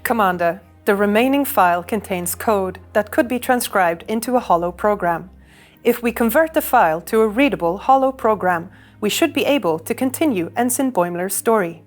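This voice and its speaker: A woman's voice: mid-range voice, expressive, normal speed, natural voice. A woman playing a formal starship officer, giving a composed scripted sci-fi game briefing to a commander. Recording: music under the voice.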